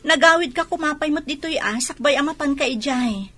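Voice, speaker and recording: A woman's voice: high-pitched, a little fast, fairly bright tone, soft background music. An actress playing an anxious, suspicious soldier's wife in an Ilocano radio drama, pointed and plaintive as she questions.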